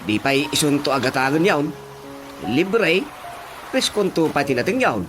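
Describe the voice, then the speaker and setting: A man's voice: fairly high pitch, animated, unhurried. An actor playing a younger male villager in casual conversation in an Ilocano radio drama. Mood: curious. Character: younger villager chatting with an elder sister figure. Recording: music under the dialogue.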